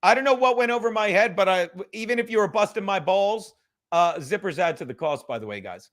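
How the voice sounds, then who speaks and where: A man's voice: high voice, animated, fairly quick, a bit bright. A man hosting a casual, profane livestream chat, rambling in a self-deprecating way and swearing freely. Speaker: livestream host.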